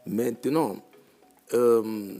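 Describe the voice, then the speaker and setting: A man's voice: medium pitch, extremely slow, dark tone, soft background music. A man from Cameroon, a musician guest on a panel talk show, speaking reflectively and repeating his phrases.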